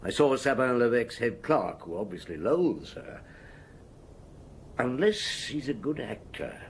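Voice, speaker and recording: An actor playing a shrewd, unhurried chief inspector in an audio drama, measured, probing and dryly courteous. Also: male voice, mid-range voice, very animated, a little hiss or hum. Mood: thoughtful.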